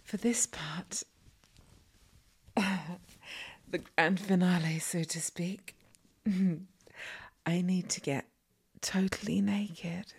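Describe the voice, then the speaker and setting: Woman acting as a flirty fawning masseuse, super slow breathy half-whisper, kinda creaky, erotic asmr roleplay. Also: on the low side, steady tone, full character voice. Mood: soothing, teasing. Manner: slow, soothing, sultry delivery. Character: flirtatious, fawning adult masseuse doting on a client.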